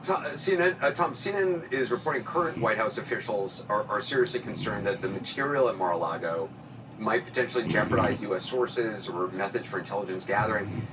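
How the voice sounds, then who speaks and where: A man hosting a news interview, putting questions to guests in halting phrases. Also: lowish voice. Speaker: news show host. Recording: very noisy, distant-sounding audio with hum.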